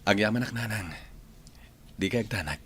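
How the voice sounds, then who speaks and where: A man's voice: on the low side, animated, moderate pace, fairly bright tone, slightly rough, exaggerated voice. A man with an Ilocano accent playing a respectful, earnest grown village son in a radio drama. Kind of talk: Filipino radio drama acting.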